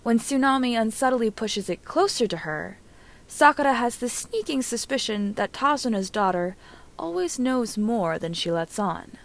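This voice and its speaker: A woman narrating fiction from a script as an amateur audiobook reader, with an expressive storytelling delivery. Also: on the high side, moderate pace.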